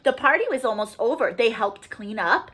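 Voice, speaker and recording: Woman, children's storytime reader, high pitch, very lively intonation, sing-song, speaks directly to young listeners. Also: moderate pace, husky. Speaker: children's librarian leading a musical storytime. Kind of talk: storybook read-aloud and talk to young children. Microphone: video call.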